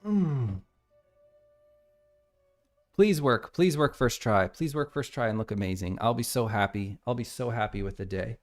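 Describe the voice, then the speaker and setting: A man's voice: mid-range voice, lively intonation, a little slow, long pauses, faint music behind. An American man streaming his game development live, thinking aloud and reading lines of code as he types. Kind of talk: casual live coding stream.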